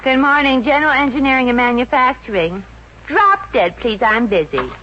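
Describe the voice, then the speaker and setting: An American actress playing a wisecracking Brooklyn showgirl working as a stenographer in a 1950s radio comedy, with a wry, deadpan delivery. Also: sits high, moderate pace, background noise. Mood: brisk. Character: wisecracking Brooklyn showgirl turned office stenographer, brassy and unfazed. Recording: dull vintage radio recording with music.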